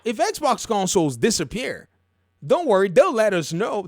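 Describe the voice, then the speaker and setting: A man's voice: sits high, no room sound, clean studio sound. A man hosting casual, opinionated video game commentary, telling stories in a colloquial, emphatic way and repeating phrases for effect.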